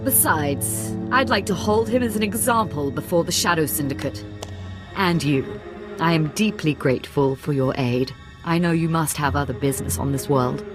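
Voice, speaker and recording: An actress playing a proud, commanding female crime syndicate leader in a video game, deliberate and formal. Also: low voice, over music, natural voice. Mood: formal, composed. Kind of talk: video game character acting.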